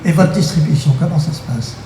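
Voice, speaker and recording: A man's voice: on the high side, brisk, mellow. A man hosting a casual radio interview, talking in a loose, repetitive way. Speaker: radio host. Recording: echoey room with clear noise.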